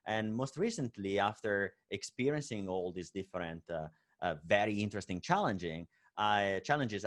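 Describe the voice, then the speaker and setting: A low-pitched man with a clear Italian accent presents at a virtual tech conference. He speaks in stop-start phrases, filling pauses with "uh" and closing points with a questioning "right?". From Italy. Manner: many fillers and hesitations, frequent "right?" tag.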